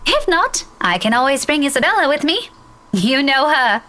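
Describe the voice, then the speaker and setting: An actress playing a young grown daughter in a visual novel, talking in a light, reassuring way. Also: female voice, sits high, big pitch swings, normal speed, sharp tone, exaggerated voice.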